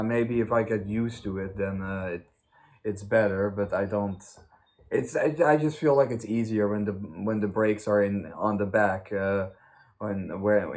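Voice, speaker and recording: A young man telling anecdotes in casual chat, halting and rambling as he goes. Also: on the low side, darkish tone. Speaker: host of a story time channel.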